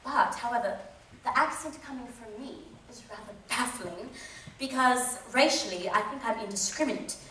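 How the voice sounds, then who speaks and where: A woman's voice: a little high, moderate pace, sharp tone, slight background noise. A woman from England with a well-spoken British accent, giving a wry personal testimony on stage. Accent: British English. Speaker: speaker sharing a testimony. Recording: echoey hall, mic far off.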